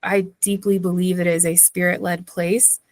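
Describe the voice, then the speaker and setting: A woman's voice: on the low side, level tone, moderate pace, darkish tone, dry recording. A woman pastor from southeastern North Carolina, telling stories as a guest in a casual interview with lots of fillers and frequent laughter. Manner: chatty, laughs often, many fillers.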